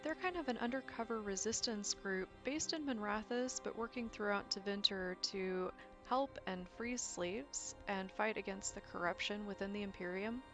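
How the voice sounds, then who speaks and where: A woman's voice: medium pitch, normal speed. A woman giving a casual, explanatory talk as a gaming video host, chatty and informal with self-deprecating asides. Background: music.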